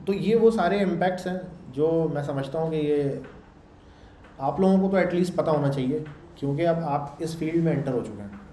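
A man's voice: on the high side, lively intonation, moderate pace, warm tone. A man teaching a class in a casual lecture style, checking in with his listeners as he explains. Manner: conversational, explains with checks like "samjh rahe ho" and "theek hai". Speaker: programming instructor. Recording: echoey room with faint background hum.

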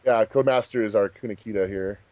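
A man's voice: fairly low pitch, fast, dark tone, no echo, muffled recording. A man co-hosting a casual commentary track as the director, chatting about the recording process. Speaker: fan dub director, commentary co-host.

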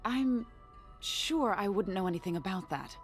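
An actress playing a polished, guarded woman art dealer in a video game, with a smooth, refined manner that turns curt when pressed. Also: medium pitch, lively intonation, moderate pace, soft background music, natural voice. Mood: evasive. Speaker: art auction house owner.

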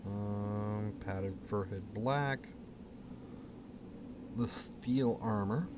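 A man's voice: lowish voice, very slow, muffled recording, music in the background. A man giving casual, plain-spoken commentary as he hosts a gaming video. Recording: clear background game noise. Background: game ambience.

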